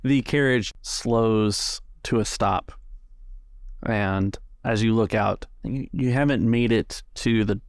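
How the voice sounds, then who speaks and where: A man working as game master, narrating a tabletop game in a halting, conversational way. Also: lowish voice, dry recording, clean studio sound.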